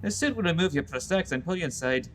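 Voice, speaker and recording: A man's voice: on the high side, expressive, fast, fairly bright tone, a little hiss or hum. A man playing a timid, anxious scientist in an amateur audio drama, with a hesitant, fretful manner. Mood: helpful, hesitant.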